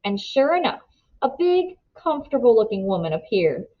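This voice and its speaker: Animated woman reading a story aloud. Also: on the high side, normal speed. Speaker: read-aloud narrator. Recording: slightly dull, muffled sound.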